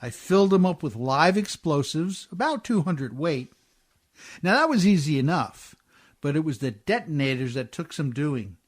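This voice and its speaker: An actor playing a scrappy, folksy working-class riverboat skipper in a radio play, with plain, ungrammatical speech. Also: male voice, fairly high pitch, very animated, normal speed. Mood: sly, proud.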